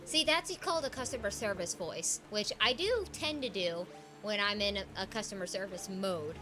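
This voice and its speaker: A young adult woman, a game streamer, chatting playfully while she plays and deliberately pitching her naturally high voice lower. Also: lively intonation. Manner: playful and teasing. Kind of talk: casual livestream chat while gaming. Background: game music.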